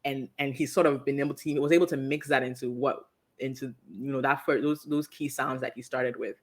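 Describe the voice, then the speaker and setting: Podcast host thinking aloud, mid-pitched. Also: fairly even intonation, a little fast. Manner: thoughtful, many restarts and fillers. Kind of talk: casual podcast interview.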